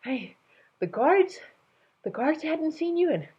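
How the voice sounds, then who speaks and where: A woman's voice: sits high, very animated, slow, warm tone, muffled recording, pitched above the performer's own voice, full character voice. A woman voicing a flustered, deferential male royal counselor who stammers hesitantly and is anxious to please. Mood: flustered, breathless.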